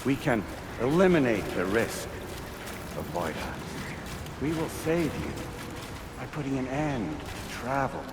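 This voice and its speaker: An actor playing a calm, grandiose spokesman for a merged collective of souls, speaking in measured, formal oratory in a game cutscene. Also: mid-range voice, noisy background. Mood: coolly controlling. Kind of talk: video game cutscene monologue.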